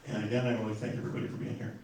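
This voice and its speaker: A man's voice: lowish voice, little pitch movement, racing, darkish tone. An older man from Wisconsin introducing himself as a city council candidate at a forum, folksy and rambling with many fillers and restarts. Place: public forum room. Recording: echoey hall, distant mic, faint noise.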